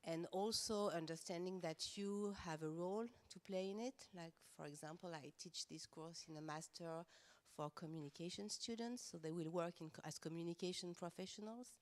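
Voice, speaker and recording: A woman's voice: on the low side, normal speed. A French woman speaking English with a French accent, a professor on a panel who talks earnestly and hesitantly, with frequent fillers. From France. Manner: earnest and passionate, many hesitations. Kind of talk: round-table panel talk. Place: conference room.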